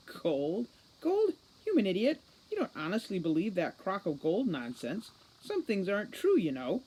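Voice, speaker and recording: A man voicing a scornful, high-pitched female fairy captain. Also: wildly swooping pitch, normal speed, darkish tone, husky, heavily acted, poor audio. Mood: outraged scorn. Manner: indignant, spitting contempt. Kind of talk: audiobook character reading.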